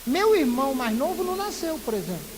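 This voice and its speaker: Pastor from Recife in northeastern Brazil, high-pitched man, lively sermon with rhetorical questions, long church echo. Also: a little fast. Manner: lively preaching full of rhetorical questions and playful asides. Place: church sanctuary. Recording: echoey church hall with loud hiss.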